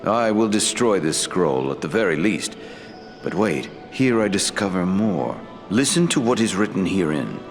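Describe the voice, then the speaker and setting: Fantasy game elf lord: solemn lore-master, deep and formal, long hall reverb, faint music behind. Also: male voice, big pitch swings. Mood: grave. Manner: formal, grave, deliberate elevated diction. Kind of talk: fantasy game dialogue acting.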